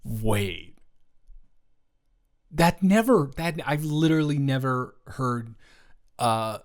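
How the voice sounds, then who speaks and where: Guy playing a teasing supportive roommate dude, audio roleplay, slow halting improv talk, stammers a bit, gets animated for his friend. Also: mid-range voice. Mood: surprised, incredulous. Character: male roommate and friend, teasing, supportive, indignant on her behalf.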